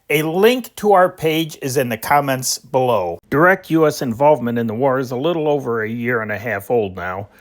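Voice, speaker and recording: A man's voice: mid-range voice, fairly bright tone. A man hosting an old-time radio channel, giving an informal, partly scripted introduction in a conversational way with wry asides.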